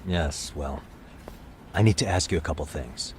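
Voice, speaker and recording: A low-pitched male detective in a video game drama, measured and confident. He questions people with a dry, wry edge, over faint background noise. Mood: polite, questioning. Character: adult male private detective, cool, probing, wry. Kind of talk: video game cutscene acting, English dub.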